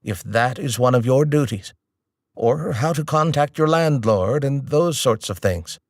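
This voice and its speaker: A man's voice: mid-range voice, expressive, measured pace, no echo, clean studio sound. A man voicing an earnest army chaplain giving urgent, insistent advice.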